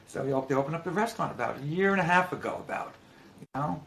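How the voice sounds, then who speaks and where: A man's voice: on the high side, expressive, very fast, gravelly, crowd noise, poor audio. A man who is a guest on a casual live chat, eager and stumbling over restarts, on a webcam call.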